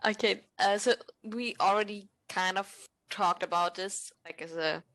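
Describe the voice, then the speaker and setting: A woman's voice: mid-range voice, steady tone, unhurried, fairly bright tone. Brief: female podcast host, easygoing, slightly tentative questions. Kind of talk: casual interview.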